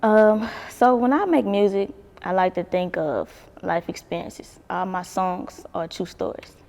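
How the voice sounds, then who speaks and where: Gravelly-voiced young woman. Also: medium pitch, measured pace, a little mellow, big-room sound. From Baton Rouge, Louisiana. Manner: laid-back, swears freely, laughs often. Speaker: singer, interview guest. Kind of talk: casual interview chat.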